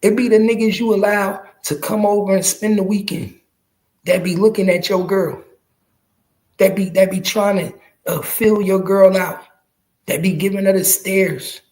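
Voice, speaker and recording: A man's voice: high voice, fairly even intonation, moderate pace, darkish tone. A man in his early forties giving casual, slangy street commentary to his viewers, emphatic and profane, repeating phrases to drive them home. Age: early 40s. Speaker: podcast host.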